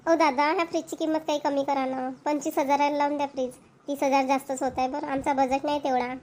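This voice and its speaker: A woman's voice: high-pitched, little pitch movement, quick pace, darkish tone, natural voice. A woman voicing a chatty, insistent young wife in a Marathi cartoon, with a Maharashtrian accent. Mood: pleading. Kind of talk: cartoon voice acting.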